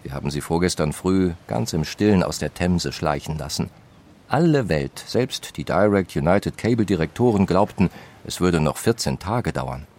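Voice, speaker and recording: An industrialist's letters. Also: male voice, sits low, lively intonation, normal speed, darkish tone. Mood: firm. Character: 19th-century industrialist brother writing letters. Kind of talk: reading historical letters in a radio feature.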